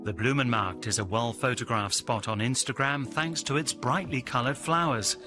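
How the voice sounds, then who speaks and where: A man reading a scripted travel narration in a smooth, measured way. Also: mid-range voice, over loud music. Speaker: documentary narrator.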